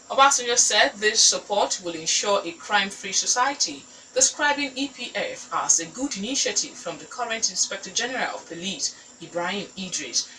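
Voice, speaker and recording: A woman's voice: mid-range voice, bright, forceful tone, far from the mic, slight background noise, poor audio. A woman with a Nigerian accent reading scripted news as a newsreader.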